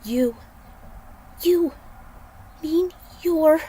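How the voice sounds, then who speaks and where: A woman voicing a distraught young heroine, emotional and halting, pleading in disbelief. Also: high-pitched, dark tone, voice pushed up, rough recording. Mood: desperate disbelief.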